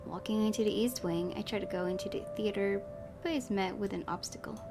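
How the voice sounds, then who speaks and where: A woman's voice: medium pitch, a little fast. A woman hosting a let's play, reading a horror visual novel aloud and adding casual commentary. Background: music.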